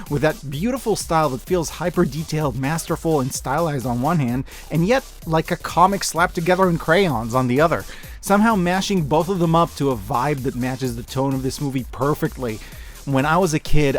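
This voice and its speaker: A man in his thirties giving scripted, enthusiastic video-essay commentary as a host, in an emphatic, gushing, informal way. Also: on the high side. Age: 30s. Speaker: host of a year-in-review media video. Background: music.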